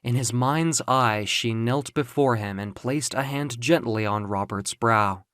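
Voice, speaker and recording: A man narrating a dark fiction story in a dramatic, theatrical and deliberate way, with music behind him. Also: medium pitch, no room sound, clean studio sound. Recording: music under the voice.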